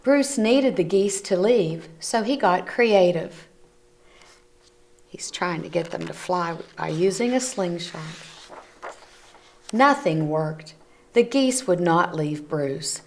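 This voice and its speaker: Slow, low-voiced woman reading to children. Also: animated, slight background noise. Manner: gentle, explains pictures to children. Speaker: children's book reader. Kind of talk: read-aloud storytelling for children. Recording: community TV recording.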